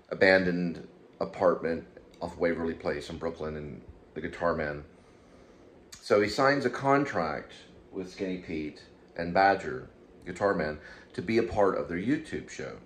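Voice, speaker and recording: Male, deep register, casual solo commentator who meanders and doubles back, faint noise behind him. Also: moderate pace. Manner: rambling, hesitant, circles back and repeats himself. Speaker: YouTube show host and amateur writer. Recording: steady background room noise.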